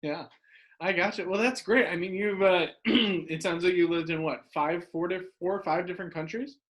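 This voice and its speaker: A man's voice: sits high, steady tone, normal speed, fairly bright tone. A man hosting a casual interview, giving short friendly affirmations and asking questions. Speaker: interviewer host.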